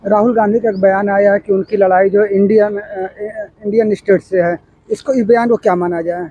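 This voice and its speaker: A male news reporter puts questions off the cuff in an interview. His voice is notably high for a man, with a rough, husky edge. The audio is dull and muffled. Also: steady tone, brisk, mellow, no echo.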